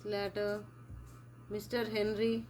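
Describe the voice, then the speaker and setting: A woman teaching a lesson on a play, talking slowly and repeating words and phrases as she writes. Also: mid-range voice, monotone, a little mellow, a little hiss or hum. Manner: slow, repeats words and phrases while writing. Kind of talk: classroom lesson explaining a play.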